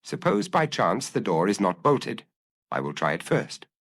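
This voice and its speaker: A man voicing a suave, self-assured gentleman burglar musing to himself, urbane and drily amused, in a storybook reading. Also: lowish voice, big pitch swings, unhurried, creaky, heavily acted. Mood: self-congratulating.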